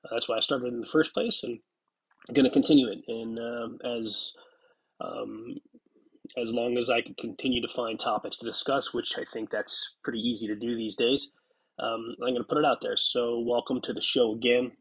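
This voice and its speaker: Husky man with a stuffy cold. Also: mid-range voice, level tone, moderate pace, low-quality recording. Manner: reflective, rambling, many fillers and restarts. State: stuffy from a cold, clears throat. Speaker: podcast host. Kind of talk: casual solo podcast monologue.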